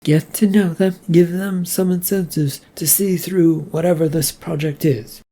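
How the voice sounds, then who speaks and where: A reader voicing a sensible wife who asks steady, gently probing questions. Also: medium pitch, unhurried, pitched above the performer's own voice. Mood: calm. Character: calm, sensible wife on the phone.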